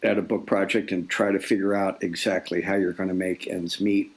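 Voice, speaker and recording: Gravelly older man, low and halting. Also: level tone. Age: 60s. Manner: hesitant, halting, often repeating words. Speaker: guest author. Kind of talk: reflective interview conversation.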